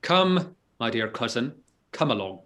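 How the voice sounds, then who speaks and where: An actor playing a witty, scheming gentleman in disguise, with an arch, theatrical delivery. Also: mid-range voice, big pitch swings, a little slow. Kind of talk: stage-play reading.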